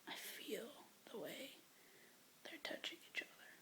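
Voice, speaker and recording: A young woman whispering love poems aloud with hesitant, rambling asides between them, breathy and soft, close to a phone or webcam mic. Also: fairly high pitch, big pitch swings, unhurried. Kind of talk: whispered poetry reading, ASMR-like.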